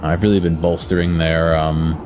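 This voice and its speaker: Low-voiced male game streamer with a lisp. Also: flat delivery, moderate pace. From United States. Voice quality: slight lisp. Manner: rambling, answers chat, hesitant fillers. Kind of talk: casual game-stream commentary. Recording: game music playing behind.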